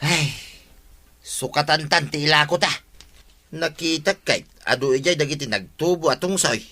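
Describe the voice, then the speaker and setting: An actor playing a cheeky, scheming village vendor, brash and wheedling, in a comedic radio drama. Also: male voice, on the high side, normal speed, pushed and bright. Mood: brash, dismissive.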